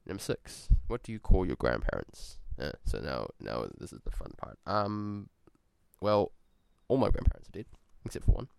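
A man's voice: low voice, very animated, normal speed, vocal fry, a little mellow, smooth, no room sound. A young man from New Zealand with a New Zealand accent, chatting casually and rambling through a vlog with self-corrections.

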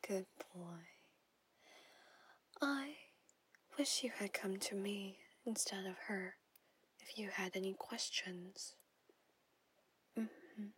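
A woman's voice: mid-range voice, slow pace, long pauses, a bit bright, exaggerated voice. A woman whispering as a possessive stepmother. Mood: soothing, possessive. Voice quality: breathy whisper. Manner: intimate whispering, coaxing and controlling. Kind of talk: whispered audio roleplay.

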